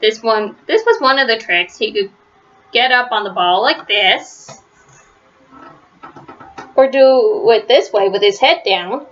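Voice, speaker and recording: Female children's read-aloud narrator, bright and crisp-edged, deliberate storybook phrasing, slightly muffled lo-fi recording. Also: on the high side. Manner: simple, deliberate phrasing with stressed words for young listeners. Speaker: storybook reader.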